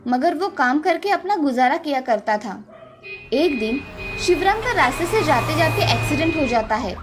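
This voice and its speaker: A woman narrator reading a scripted moral story for children. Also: high-pitched.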